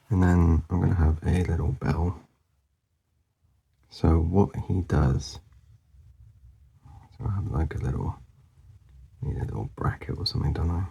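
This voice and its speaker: A man with a very deep voice gives loose, rambling commentary while he draws. He mumbles softly and often sinks into a near whisper, and his voice is wearing out. The tone is very gravelly and quite dark, with a breathy, husky edge. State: losing his voice. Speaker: artist demonstrating a drawing. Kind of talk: casual drawing commentary.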